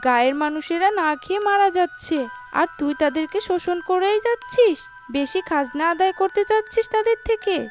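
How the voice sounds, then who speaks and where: A woman plays a righteous cartoon ghost, female and protective of the poor. Her voice is very high-pitched, over faint music. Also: animated, brisk. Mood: stern. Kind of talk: cartoon dubbing.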